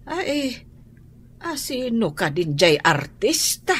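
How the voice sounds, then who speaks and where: An actress playing an anxious, hopeful middle-aged mother with an Ilocano accent and a husky voice, sighing and exclaiming in a radio drama. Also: on the high side, big pitch swings, slow pace, a bit bright, slight background noise, full character voice. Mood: wistful, anxious. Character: anxious, hopeful mother worried for her ailing daughter.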